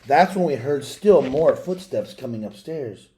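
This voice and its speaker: A man reading a storybook aloud in an expressive, deliberate storytelling manner. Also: medium pitch, low-quality recording. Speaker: read-aloud reader.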